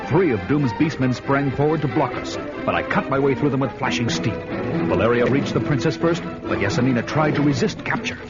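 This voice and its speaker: An actor playing a grim, vengeful barbarian warrior who tells his saga in solemn, deliberate dramatic style. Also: medium pitch, expressive, natural voice. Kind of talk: dramatic first-person radio narration and acting. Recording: dull lo-fi radio recording, loud music behind.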